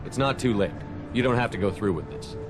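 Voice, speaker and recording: An actor playing a principled military commander in a video game, firm and earnest. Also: male voice, lowish voice, brisk, over music, lightly acted. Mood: concerned. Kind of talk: dramatic video game acting.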